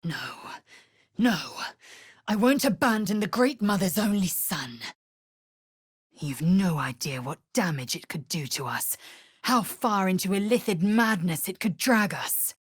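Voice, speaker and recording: A woman voicing a fierce, haughty young alien warrior in a video game, with a husky, breathy rasp and a clipped, imperious delivery. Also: medium pitch, measured pace, dry recording, studio quality. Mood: anguished, indignant. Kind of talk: video game character voice lines.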